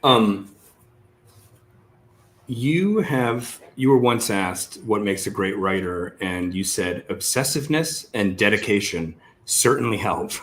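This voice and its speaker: A man's voice: fairly low pitch, animated, slow, a bit bright, slight background noise. A man hosting an interview, asking long conversational questions that he tentatively rephrases as he goes. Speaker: interview host.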